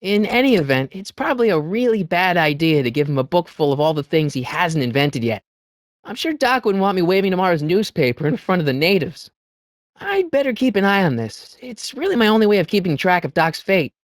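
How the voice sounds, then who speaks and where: A man's voice: high voice, sing-song, a little fast, no room sound, studio quality. A male actor playing an earnest, wisecracking teenage boy in a video game, with a youthful voice and a hesitant, slangy delivery. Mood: wry, doubtful. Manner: hesitant, stammering asides, wry slangy delivery. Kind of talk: video game character lines.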